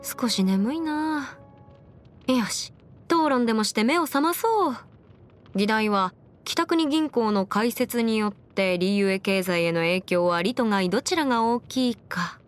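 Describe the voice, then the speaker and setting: A woman's voice: on the high side, animated, a little slow, faint music behind. A woman voicing a confident, bookish young female legal expert in a video game, speaking in a self-assured, formal, lecturing way. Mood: friendly, playful. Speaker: video game character voice actor. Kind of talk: scripted anime-style game character monologue.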